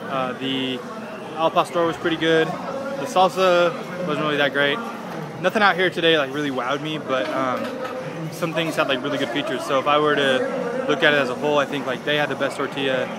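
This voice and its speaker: A man's voice: mid-range voice, fairly even intonation, a little fast, very noisy. A young man hosting a casual food vlog, talking into a phone held at arm's length outdoors, laid-back with casual slang. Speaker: food vlogger host. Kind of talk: casual handheld food review. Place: outdoor street festival on a pedestrian mall. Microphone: phone camera held at arm's length. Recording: outdoor festival with loud music and crowd. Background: loud band music and crowd.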